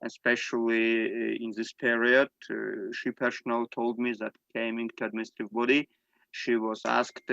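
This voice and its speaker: A man whose first language is Armenian, speaking English in halting testimony on a video call, with many fillers and restarts. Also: medium pitch, gravelly, fairly bright tone, dry recording, muffled recording. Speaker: panel speaker giving testimony. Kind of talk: unscripted spoken testimony.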